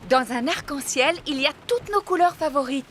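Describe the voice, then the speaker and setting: A woman voicing a gentle, cheerful mummy pig in a French children's cartoon, with music behind her. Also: high voice, expressive, normal speed, a little hiss or hum. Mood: delighted.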